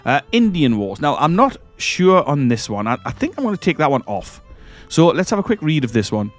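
A man with a cold hosting a strategy game let's-play, his voice croaky as he rambles and corrects himself while clicking through menus. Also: fairly high pitch, very animated, a little fast, soft background music. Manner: rambling, hesitant, self-correcting. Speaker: gaming channel host. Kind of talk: casual let's-play commentary.

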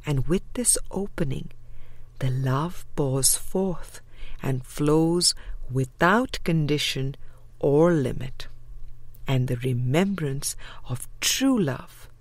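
A woman reading a spiritual audiobook with measured, deliberate phrasing. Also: sits low, darkish tone, no room sound. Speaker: audiobook reader.

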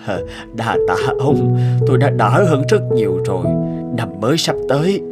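A man's voice: mid-range voice, very animated, normal speed, lightly acted. A man as a weary, humble old beggar. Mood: grateful. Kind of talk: cartoon dubbing. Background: music.

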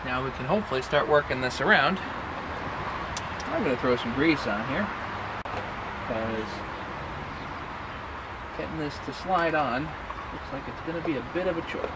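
Brief: male DIY vlogger, slightly high voice, muttering through a hands-on job outdoors, heavy background noise. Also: lively intonation, normal speed, pauses often. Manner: chatty, mutters step by step. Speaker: DIY sawmill owner. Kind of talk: casual how-to narration while working. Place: outdoors at a sawmill.

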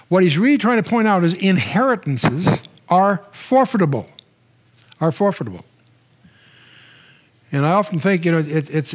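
Older male Bible teacher, slightly high voice, rambling lecture style, studio lapel mic. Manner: conversational, with frequent restarts and wry asides. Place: studio stage, standing at a lectern.

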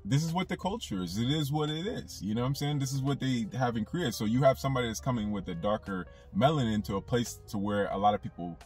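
A man's voice: mid-range voice, fast talker, few pauses, darkish tone, recorded from a distance, faint music behind. A young man talking casually to camera from inside a car, rambling with lots of fillers. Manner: loose, rambling, with many fillers. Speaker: YouTube commentator. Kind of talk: casual commentary vlog.

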